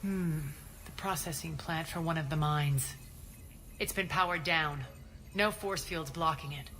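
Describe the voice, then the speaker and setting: An actress playing a ruthless, vengeful alien crime boss in a video game, speaking in a cool, clipped, commanding way. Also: female voice, low-pitched, unhurried, a bit bright, slight background noise. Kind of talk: dramatic video game acting.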